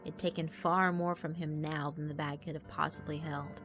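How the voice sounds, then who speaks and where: A woman giving a grave, deliberate, dramatic reading of a horror story as narrator. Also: sits low, little pitch movement. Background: background music.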